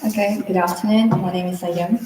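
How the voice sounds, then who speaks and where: A woman's voice: fairly low pitch, steady tone, a little fast. A teenage girl from Kazakhstan, a native Kazakh speaker, giving fluent, composed answers at a speaking exam. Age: teens. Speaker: exam candidate. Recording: echoey room with faint noise.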